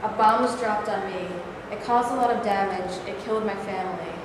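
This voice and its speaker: A woman's voice: medium pitch, fairly quick, lightly acted. A woman in a staged reading, playing a scarred bomb survivor who recites simple verse. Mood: mournful, plain. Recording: distant, very echoey noisy hall recording.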